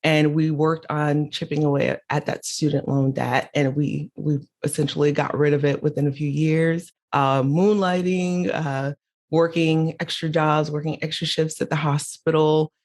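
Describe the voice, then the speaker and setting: A woman with a low voice, middle-aged, speaks as a guest on an interview podcast. She tells her own story candidly, with laughs here and there. She was born in Haiti and raised in Miami. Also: flat delivery, normal speed, a little mellow.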